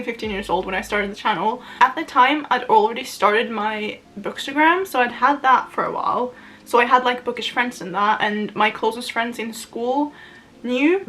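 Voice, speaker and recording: Young woman, Norwegian native speaker, fairly high voice, rambling, giggly, casual vlog, talking to camera at home. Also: a bit bright. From Norway. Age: young adult. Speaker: booktuber. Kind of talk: chatty get-ready-with-me vlog. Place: at home, doing her make-up.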